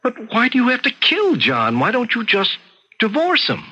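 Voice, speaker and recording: An actor playing an earnest, incredulous doctor in an old-time radio drama, pleading and emphatic. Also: male voice, very high-pitched, huge pitch swings, moderate pace, sharp tone, slightly rough, dry recording, exaggerated voice. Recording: dull vintage radio recording.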